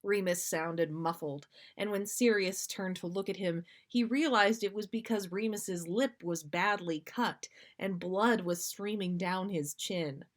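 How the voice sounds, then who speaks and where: A woman's voice: medium pitch, unhurried, hardly any pauses. Female podcast storyteller, mid-range voice, narrating fiction read from text. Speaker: podcast host reading listeners' stories. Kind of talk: storytelling, reading fiction aloud.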